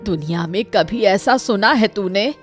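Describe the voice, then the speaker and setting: A bitter old hunchbacked mother from a cartoon, acted by a woman. She has a medium-pitched voice and speaks in resentful, reproachful complaint. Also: animated, measured pace, faint music behind, natural voice. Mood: bitter, complaining. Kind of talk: Hindi cartoon dialogue acting.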